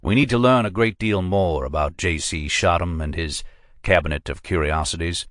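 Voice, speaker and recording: A man voicing a suave, unflappable federal agent who talks in a creamy, dry, measured drawl. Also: low-pitched, fairly bright tone, no room sound.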